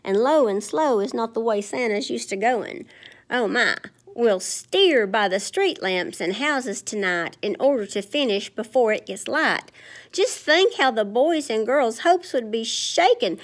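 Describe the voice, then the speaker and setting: A woman voicing a worried, kindly old Santa in a booming, emphatic way while reading a picture book aloud to children. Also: animated, a little slow.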